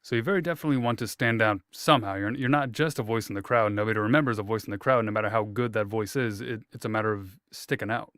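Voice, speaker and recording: Smooth-voiced male interview host. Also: fairly low pitch, fast, hardly any pauses, fairly bright tone, no room sound, clean studio sound. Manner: rambling, self-correcting questions, fond of puns. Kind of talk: interview questions, casual.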